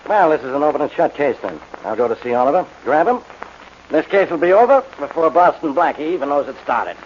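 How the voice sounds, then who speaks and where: An actor playing a brusque, bossy police inspector who is easily exasperated, in 1940s radio style. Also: male voice, a little high, expressive, fast, close to an everyday voice. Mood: confident. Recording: dull, noisy 1940s radio recording.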